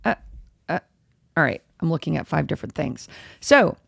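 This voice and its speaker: A woman hosting her own casual podcast, a little hoarse, chatty and rambling as she interrupts herself. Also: big pitch swings, normal speed, no room sound, studio quality. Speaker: podcast host. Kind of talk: casual solo podcast chat, live-streamed. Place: home room with dogs. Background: dogs and a squeaky toy at times.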